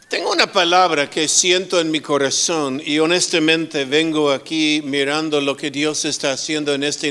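A middle-aged man preaching as a guest speaker, slow and full of pauses and restarts, with humorous asides. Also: on the high side, a bit bright, clear, resonant tone. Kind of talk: sermon.

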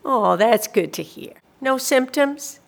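A woman's voice: high voice, lively intonation, slow, creaky, full character voice. An actress playing a polite but persistent government social worker in an audio drama, soothing and officious as she questions someone. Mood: pleasant, solicitous. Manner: politely insistent, bureaucratic, soothing reassurances. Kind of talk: scripted audio drama dialogue.

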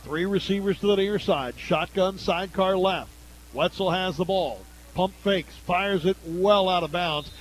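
An American man doing live radio play-by-play commentary of a high school football game. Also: unhurried, slight background noise. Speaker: play-by-play announcer.

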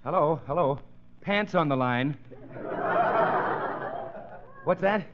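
Simple, excitable detective's assistant, animated high delivery, dull vintage radio comedy sound. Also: male voice, takes it slowly. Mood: eager. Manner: excitable, simple-minded, repeats himself. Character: eager, dim-witted male detective's sidekick. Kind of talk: old-time radio comedy acting. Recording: dull, very noisy old radio recording.

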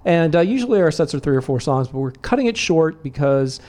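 A man's voice: fairly high pitch, sing-song, brisk, a little mellow. A man hosting a radio show, chatting casually in the studio, laughing often and teasing his co-host.

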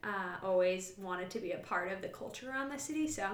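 A woman's voice: mid-range voice, fairly quick, over a noisy crowd. A young woman with a light Boston accent, chatting casually as a podcast guest and laughing often. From Boston area, US. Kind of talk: casual chat. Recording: roomy echo.

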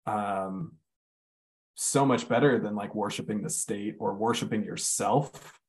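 A man's voice: on the low side, measured pace. A man in a casual podcast chat, talking reflectively and searching for his words. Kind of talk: casual conversation.

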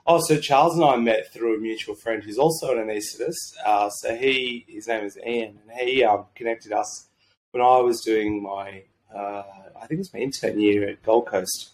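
A slightly croaky Australian man talking in a rambling, informal, mildly sweary way as a podcast guest. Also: on the low side, steady tone, moderate pace, low-quality recording. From Sydney, Australia. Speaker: podcast guest, medical doctor and entrepreneur. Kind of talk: casual conversational interview.

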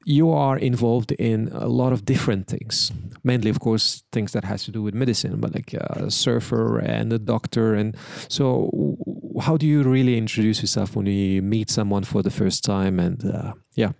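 A man's voice: on the low side, moderate pace, creaky, hardly any pauses, darkish tone, no room sound, clean studio sound. A man hosting an interview, who reads a formal introduction of his guest and then asks loose, rambling questions. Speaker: podcast host.

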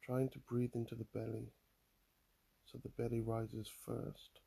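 A man guiding a mindfulness meditation in a calm, soothing manner, leaving long pauses between phrases. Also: medium pitch, gravelly, breathy edge. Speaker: mindfulness guide. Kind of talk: guided meditation, body scan.

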